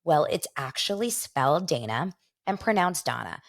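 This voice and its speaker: American female podcast host, forties, slightly low register, informal and jokey, chatting directly to her audience. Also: big pitch swings, normal speed. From Los Angeles, United States. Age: 40s. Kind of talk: casual solo entertainment gossip chat.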